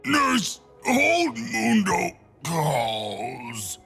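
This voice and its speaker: A huge, simple-minded video game brute who fancies himself a physician. The voice is very gravelly and rough, slow and plodding, mangling grammar and naming himself in third person. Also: male voice, high voice, wildly swooping pitch, sharp tone, faint music behind, full character voice. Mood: eager, manic. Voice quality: gravelly, husky. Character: hulking dim-witted mad purple brute who believes he's a doctor. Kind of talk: video game character voice lines.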